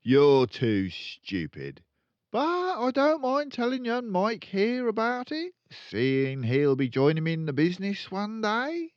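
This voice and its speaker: Guy doing a bragging crooked car dealer dad, rude n loud, pitch jumps all over, storybook reading. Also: huge pitch swings, takes it slowly, no echo, dull audio, heavily acted. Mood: scornful. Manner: loud bragging, sneering, colloquial. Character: boastful, crooked, rude car-dealer father, middle-aged.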